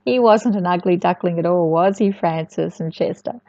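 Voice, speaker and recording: A woman's voice: on the low side, moderate pace, dark tone, no room sound, muffled recording. A woman telling a picture-book story to young children, with chatty asides and words repeated for emphasis.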